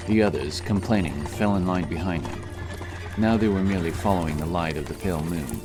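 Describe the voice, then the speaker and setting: A man with a very low voice narrates a fantasy adventure in a dramatic, scripted style. Music plays clearly behind him. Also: fairly quick. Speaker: audiobook narrator.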